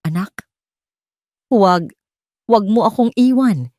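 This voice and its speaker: A woman's voice: mid-range voice, animated, takes it slowly, dark tone, no echo, studio quality, voice pushed up. Desperate pleading Filipino mother, acted by a woman. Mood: anguished. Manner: pleading, trembling. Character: desperate young mother pleading for her sick son.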